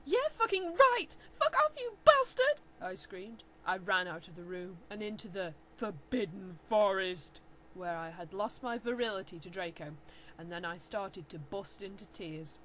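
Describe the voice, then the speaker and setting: A woman's voice: on the low side, steady tone, normal speed, noisy background. A woman giving a theatrical, mock-serious dramatic reading of fan fiction as narrator. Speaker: reader.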